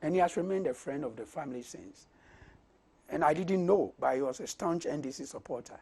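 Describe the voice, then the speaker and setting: Male Ghanaian interviewee, native Ga. Husky, fairly high register, measured conversational delivery broken by pauses. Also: lively intonation, darkish tone. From Osu, Accra, Ghana. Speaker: parliamentary candidate being interviewed.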